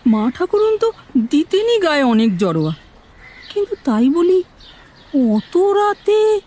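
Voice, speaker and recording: A woman playing a frail, tearful old widowed maidservant, plaintive and halting, in an audio story. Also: extremely high pitch, big pitch swings, mellow, full character voice. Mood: bewildered, pleading.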